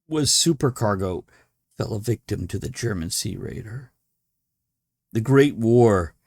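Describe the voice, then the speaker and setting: A man voicing a despairing, morphine-addicted sailor in a horror audiobook, grave and formal, halting for emphasis. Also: on the low side, darkish tone, dry recording, studio quality. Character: despairing morphine-addicted sailor writing his final horrified confession.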